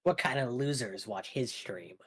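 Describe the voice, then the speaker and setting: A man's voice: medium pitch, moderate pace, a bit bright, a little hiss or hum. A man chatting casually as a player in a tabletop game. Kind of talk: casual chat among gamers.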